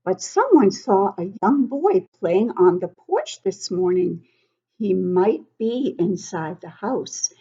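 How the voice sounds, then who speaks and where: A woman's voice: medium pitch, big pitch swings, takes it slowly, warm tone, breathy edge, exaggerated voice. A woman voicing a commanding, businesslike fire chief with firm, emphatic delivery in a children's storybook. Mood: firm, urgent. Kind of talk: children's storybook read-aloud. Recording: dull, lo-fi sound.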